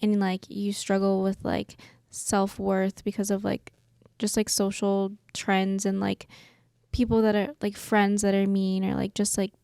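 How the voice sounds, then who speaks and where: A young woman chatting on a podcast in a loose, rambling way, filling her speech with "like". Her delivery stays flat in pitch, with a creaky fry. The voice itself comes across smooth and clear. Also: unhurried, dry recording, clean studio sound. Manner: rambling, heavy use of "like". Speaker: podcast co-host. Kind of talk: casual chat.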